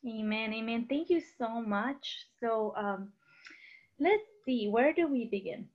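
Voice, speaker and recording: A woman's voice: a little high, slow. A woman in her thirties from Costa Rica telling her life story as a personal testimony over a video call. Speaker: guest sharing her testimony. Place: room at home, indoors. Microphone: computer mic on a video call. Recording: dull, lo-fi video call audio.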